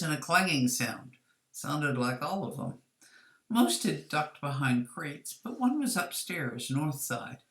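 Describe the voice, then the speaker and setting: A woman's voice: rumbling low, fairly bright tone, roomy sound. A woman reading a scripted story as its author, with dramatic delivery. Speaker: author reading her own short story.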